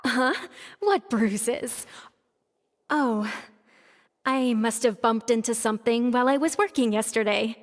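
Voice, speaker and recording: A woman voicing a gentle, reassuring mother with a tender, soothing manner in a dramatic video game scene. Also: on the high side, slow pace. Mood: evasive, concerned. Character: gentle, reassuring mother of two young daughters. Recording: long reverb, music underneath. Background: music.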